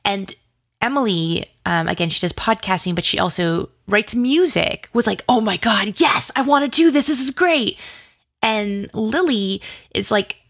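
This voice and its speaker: A woman's voice: mid-range voice, lively intonation, a little slow, vocal fry, a bit bright, dry recording, clean studio sound. A woman speaking casually as an interview guest, in informal, hesitant phrasing peppered with "like". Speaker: guest, audio drama co-creator and writer.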